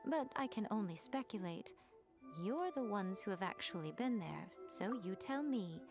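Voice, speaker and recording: A woman's voice: mid-range voice, animated, normal speed, over music, natural voice. Woman playing a sly, teasing noblewoman. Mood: knowing. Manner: teasing, languid and knowing. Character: sly, teasing adult woman of high rank, amused and patient. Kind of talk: video game voice acting.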